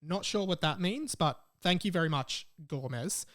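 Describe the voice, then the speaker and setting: A man's voice: a little high, animated, normal speed, fairly bright tone. A young adult man with an Australian accent, hosting a casual podcast in a rambling, jokey way. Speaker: podcast host. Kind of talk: casual solo podcast chat.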